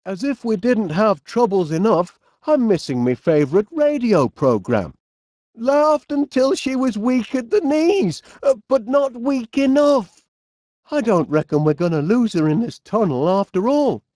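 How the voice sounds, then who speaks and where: Middle-aged Northern English inventor, swooping lively intonation, unhurried, fussy, cheerful, bumbling, cartoon comedy game voice. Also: male voice, sits high, no room sound, studio quality, heavily acted. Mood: musing, then flustered urging. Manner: bumbling, hesitant, polite, chatty. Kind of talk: animated comedy game voice acting.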